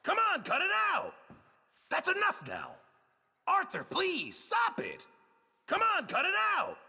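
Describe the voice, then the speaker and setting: An actor playing a put-upon, middle-aged camp cook in a Western video game, flustered, huffy and self-important, with a gravelly, husky voice. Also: male voice, very high voice, wildly swooping pitch, unhurried, bright, forceful tone, long reverb tail, exaggerated voice. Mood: angry. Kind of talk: video game character barks.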